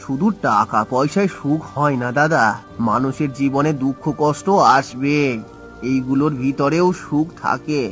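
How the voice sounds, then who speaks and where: A man playing a gentle, poor village father in a cartoon, speaking in a tender, plaintive way. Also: medium pitch, fairly even intonation, unhurried, soft background music, close to an everyday voice. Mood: humble, earnest. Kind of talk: cartoon voice acting.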